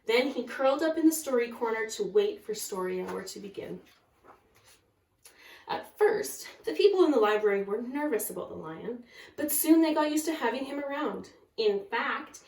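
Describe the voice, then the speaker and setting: A woman's voice: on the high side, expressive, moderate pace, creaky, darkish tone. A woman kindergarten teacher talking to young children and reading a storybook aloud. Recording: echoey room, far from mic.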